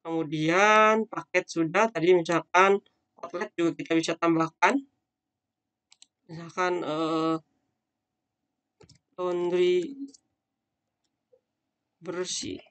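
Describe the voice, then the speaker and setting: A man's voice: high voice, little pitch movement, slow, pauses often, fairly bright tone, clean tone. Man thinking aloud through a coding demo. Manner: casual, thinking aloud with fillers while demonstrating. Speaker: teacher. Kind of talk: screen-recorded coding tutorial. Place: at a computer, voice-over on screen recording.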